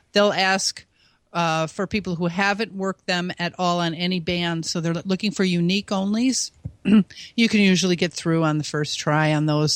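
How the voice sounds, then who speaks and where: A woman with a high voice, a guest on a call-in radio show, explaining things in a chatty, step-by-step way. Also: no echo.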